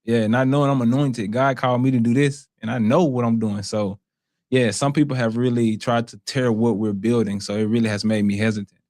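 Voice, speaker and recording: A man's voice: mid-range voice, fairly even intonation, fairly quick, darkish tone, no room sound, clean studio sound. A man with an American accent talking earnestly and slangily as a guest in a casual interview. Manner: earnest, slangy, frequently says bro. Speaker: interview guest, content creator.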